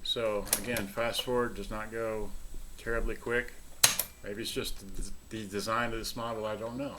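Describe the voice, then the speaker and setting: A man giving a casual, unscripted product demonstration, halting and scattered as he mutters to himself. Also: fairly low pitch, level tone. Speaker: seller demonstrating vintage cassette radio. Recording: very noisy home video with clicks.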